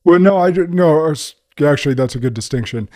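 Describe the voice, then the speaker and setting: Podcast host with a mellow voice. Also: male voice, fairly high pitch, expressive, fast talker. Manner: rambling, self-correcting questions. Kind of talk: casual interview questions.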